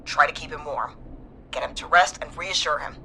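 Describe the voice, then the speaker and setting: An actress playing a calm, no-nonsense nurse in a video game, giving direct, measured instructions. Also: female voice, sits low, a little hiss or hum. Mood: firm, instructive. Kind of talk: dramatic video game voice acting.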